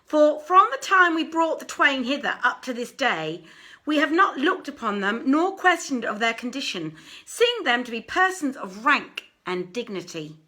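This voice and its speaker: A woman's voice: high voice, big pitch swings, moderate pace, bright, forceful tone, pitched above the performer's own voice, heavily acted. A woman voicing a commanding band of robber brothers in a storybook, with solemn, archaic phrasing.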